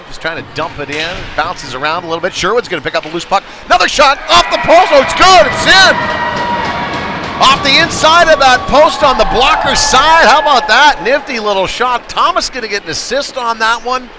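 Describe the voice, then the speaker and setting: A man's voice: very high-pitched, animated, brisk, crowd noise. A man doing live play-by-play of youth ice hockey in a conversational way, with filler words and casual asides. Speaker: play-by-play sports commentator. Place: indoor ice rink. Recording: noisy live rink broadcast.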